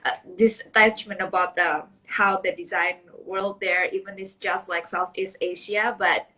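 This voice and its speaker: A woman's voice: medium pitch, steady tone, moderate pace, sharp tone, poor audio. A woman hosting an interview over a video call, searching for words with frequent restarts and fillers. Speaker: host. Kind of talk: casual interview chat.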